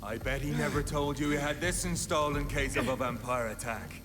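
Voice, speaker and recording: A man's voice: medium pitch, fairly even intonation, fairly quick, background noise, lightly acted. A man playing a hardened, scornful vampire hunter in a video game, blunt and menacing. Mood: scornful, smug.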